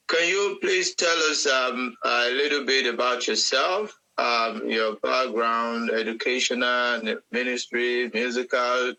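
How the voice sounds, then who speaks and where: Host, male, polite and hesitant, very bright clear tone. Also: mid-range voice, poor audio. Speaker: online chat host. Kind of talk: interview hosting.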